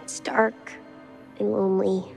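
An actress playing a lonely, innocent little girl in a video game, with a soft, hesitant, childlike delivery. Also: mid-range voice, level tone, unhurried, creaky, faint music behind, rough recording. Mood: timid, sad. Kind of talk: cinematic video game acting.

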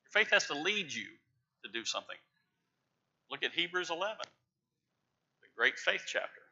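A middle-aged man preaching from a church pulpit, stressing key words with emphatic, rising loudness. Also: on the high side, very animated, normal speed, breathy edge. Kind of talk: sermon. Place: large church sanctuary with pews. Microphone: lapel mic, far camera. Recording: dull church livestream audio.

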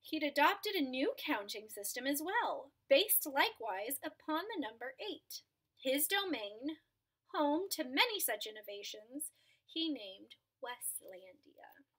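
A woman's voice: high voice, sing-song, unhurried, a bit bright. A young woman reading a picture book aloud at home into a webcam, stopping to explain the pictures to children. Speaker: read-aloud reader. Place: small room at home, indoors. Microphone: webcam at close range.

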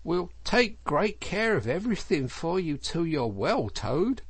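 A man's voice: fairly high pitch, sing-song, a little slow, slightly rough, no echo, pitched above the performer's own voice, exaggerated voice. A man voicing an earnest, well-meaning little mole from a storybook, with a grave, solicitous manner. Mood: earnest, reproving. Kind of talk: storybook character voice.

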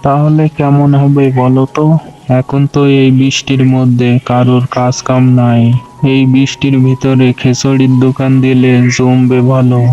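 A man playing a flat-toned poor village husband. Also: mid-range voice, normal speed, lo-fi sound, lightly acted. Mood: pleased, eager. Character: poor, worried village day labourer, a husband. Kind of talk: Bengali cartoon folk tale acting. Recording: music under the voice.

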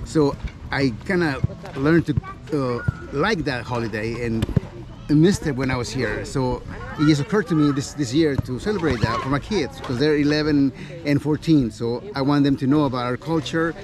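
A middle-aged man from Mexico City answers questions hesitantly in a soft-spoken way, standing outdoors in a park. The host holds the camera at arm's length, and the man's voice sits at an ordinary male pitch. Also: moderate pace. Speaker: event organizer, guest. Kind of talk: casual interview. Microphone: camera held at arm's length by host.

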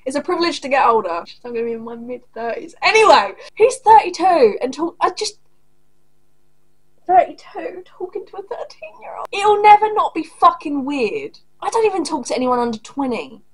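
A woman's voice: high-pitched, very animated, normal speed, low-quality recording. A woman in her thirties hosting a reaction video, talking bluntly and angrily with lots of swearing, recorded at a desk in a small home room. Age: 30s. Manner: angry, profane, blunt and sarcastic. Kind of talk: casual reaction commentary.

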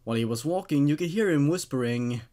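A man's voice: on the high side, lively intonation, fast talker, dark tone. A man in his twenties hosting and reading horror stories expressively, with dramatic delivery and casual, wry asides. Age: 20s. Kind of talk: expressive story narration with casual commentary. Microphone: studio condenser microphone on a stand.

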